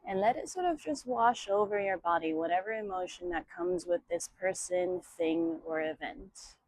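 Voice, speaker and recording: A woman teaching yoga, guiding meditation and breathing in a soothing, measured way with long pauses between short phrases. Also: fairly low pitch, animated, a little mellow, dry recording, poor audio. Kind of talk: guided meditation and breathing instruction.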